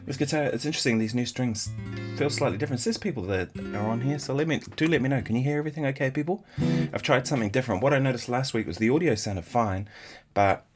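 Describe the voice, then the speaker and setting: A man's voice: mid-range voice, fast talker. A man hosting a guitar livestream, sniffly from a stuffy nose, chatting casually and thinking aloud between bits of playing. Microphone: in-ear monitors, studio mic. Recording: livestream with loud guitar behind. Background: loud electric guitar.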